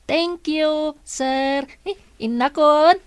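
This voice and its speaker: A woman's voice: high-pitched, extremely slow. A woman voicing a curious, polite, chatty little boy in an audio drama, with a Filipino accent. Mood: polite, eager. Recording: music under the voice.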